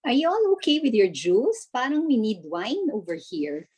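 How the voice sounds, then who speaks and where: A woman's voice: sits high, sing-song, moderate pace, a little mellow, breathy edge, full character voice. A woman with a Filipino accent playing a breezy reunion latecomer in an online table read. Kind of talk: table read of a reunion script over video call.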